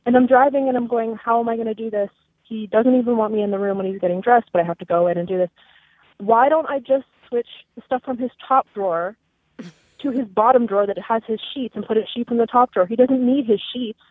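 A woman's voice: on the high side, fast, slightly rough, dry recording. Woman caller on a tinny phone line. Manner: rambling, self-amused. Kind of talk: voicemail story.

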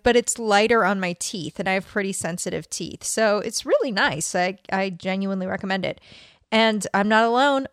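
A woman's voice: a little high, a bit bright, no room sound, studio quality. A woman co-hosting a podcast with casual, playful, tongue-in-cheek delivery. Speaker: podcast co-host. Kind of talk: casual podcast banter, ad reads and dramatic readings.

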